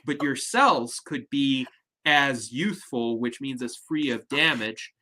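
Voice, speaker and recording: A man hosting an online discussion over a video call, explaining ideas in a measured, articulate way. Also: mid-range voice, lively intonation, pushed and bright. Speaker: host.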